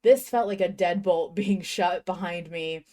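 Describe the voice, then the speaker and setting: A woman with a slightly low voice co-hosts a casual fan discussion show. She speaks emphatically and informally, peppering her opinions with slang and profanity. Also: measured pace, dark tone. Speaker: podcast host.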